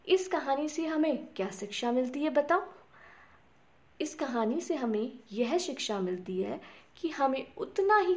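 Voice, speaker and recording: A woman narrating a scripted bedtime story for children, speaking to them directly in simple words. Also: on the high side. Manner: addresses small children directly with simple questions and repeated words.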